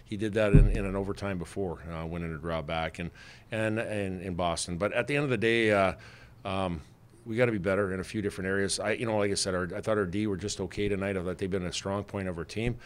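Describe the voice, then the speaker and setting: A man's voice: sits low, fairly even intonation, fast talker, smooth. A middle-aged man, a head hockey coach, answering reporters after a game in a plainspoken way, with many fillers and restarts, at a desk mic in a press room. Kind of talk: postgame press conference answers. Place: press room with sponsor backdrop. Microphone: desk mic on stand.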